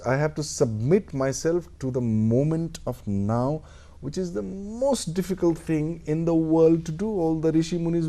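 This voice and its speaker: Middle-aged Indian actor, Indian English accent, lively pitch, warm dark tone, reflective interview guest. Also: male voice. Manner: thoughtful, animated, acts out little quoted exchanges. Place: radio studio.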